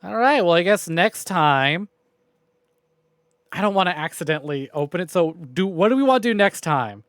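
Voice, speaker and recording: A man with a fairly high-pitched voice talks casually to his livestream viewers. His delivery is rambling and informal, with loose phrasing and the odd mild swear. The sound is dry, with no room echo. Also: animated, normal speed, a bit bright, clear, resonant tone. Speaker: video game livestreamer. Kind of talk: casual chatty stream sign-off to viewers.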